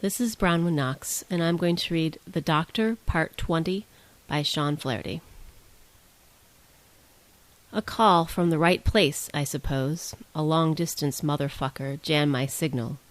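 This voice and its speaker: A woman's voice: deep voice, normal speed, no room sound. A woman reading literary prose aloud as a story reader.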